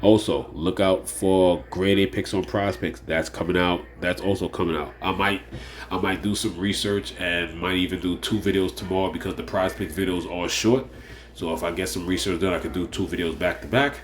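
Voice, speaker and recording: Deep-voiced man, stuffy and nasal. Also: fairly even intonation, moderate pace. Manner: rambling, repeats phrases, many fillers. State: nasal, slightly under the weather. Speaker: fantasy sports show host. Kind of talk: casual solo picks preview.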